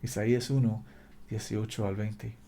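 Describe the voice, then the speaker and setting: A man's voice: fairly low pitch, level tone, unhurried, mellow. A man preaching a fervent sermon in a language that is not his native one, exhorting listeners with repetition and occasional slips. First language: not Spanish.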